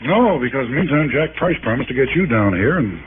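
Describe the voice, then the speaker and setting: Tinny, hissy 1950s radio: man playing harassed American businessman, medium pitch, animated, weary but firm. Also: a little fast, close to an everyday voice. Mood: concerned. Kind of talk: 1950s radio drama acting. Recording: noisy, tinny old-time radio recording.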